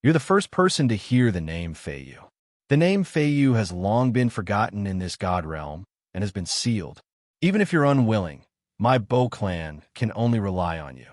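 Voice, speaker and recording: A man's voice: on the low side, big pitch swings, moderate pace, no echo. Polite mysterious slave girl from a fantasy audiobook guy doing her, humble but confident, dry sound. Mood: soft, secretive. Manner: deferential, formal, quietly confident. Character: polite, cryptic young slave girl of nonhuman clan.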